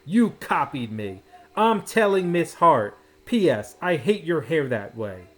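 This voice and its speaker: A man's voice: on the high side, very animated, measured pace. A man puts on a proud little schoolgirl who is easily annoyed. The storybook voice is childlike and moves up and down a great deal.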